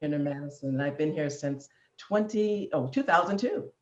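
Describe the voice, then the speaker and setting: Low-pitched woman in her 50s, chatty interview guest, roomy muffled sound. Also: normal speed. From Illinois, USA. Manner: warm chatty, laughing easily, filler ums. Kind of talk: online interview.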